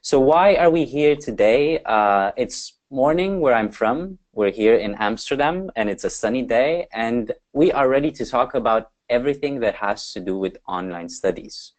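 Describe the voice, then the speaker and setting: A man's voice: medium pitch, expressive, normal speed, hardly any pauses. Upbeat man moderating a webinar. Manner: upbeat, lots of fillers. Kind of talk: webinar panel hosting. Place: Amsterdam.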